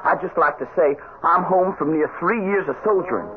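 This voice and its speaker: An actor playing an earnest, stubborn young cowhand back from soldiering, with an American Western accent. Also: male voice, on the high side, fairly quick, natural voice. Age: young adult. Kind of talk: old-time radio western acting. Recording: noisy old radio broadcast, narrow band.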